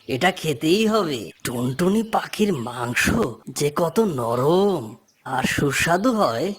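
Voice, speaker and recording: A sly, greedy fox from a children's cartoon , played by a woman, speaks in a low register. The manner is scheming and threatening, a bully hungry for prey. Music plays clearly behind the voice. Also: full character voice. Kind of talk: children's fairy-tale cartoon voice acting.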